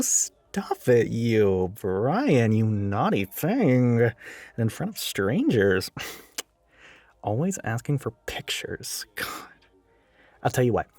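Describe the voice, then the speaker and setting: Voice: medium pitch, mellow, no room sound. Performer doing a bossy flirty scheming store coworker, sarcastic, really swoopy voice, close dry mic w music behind. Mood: flirty, coaxing. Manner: sarcastic, teasing, swinging from barking to cooing. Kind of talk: acted audio roleplay monologue to listener.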